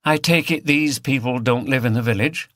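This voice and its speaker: Calm female detective sergeant, read by a male narrator, polite probing questions, bright dry sound, crime audiobook. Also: medium pitch, moderate pace, no echo, pitched above the performer's own voice, natural voice.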